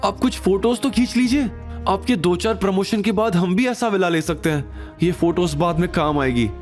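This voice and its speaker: A man voicing an envious, snobbish and excitable young wife with a sneering manner in an audio drama. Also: sits high, normal speed, faint music behind, voice pushed up, close to an everyday voice. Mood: excited, covetous. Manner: sneering, incredulous, exclaiming.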